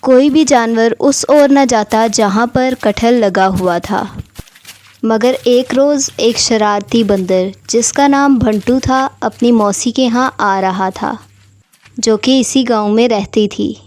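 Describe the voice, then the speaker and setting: A woman's voice: on the high side, slow pace, darkish tone. A woman narrating a scripted story for children.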